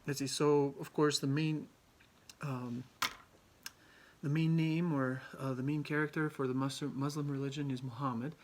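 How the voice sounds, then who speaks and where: A young man from Albania giving a class presentation, halting often with ums and restarts. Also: medium pitch. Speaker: student presenter.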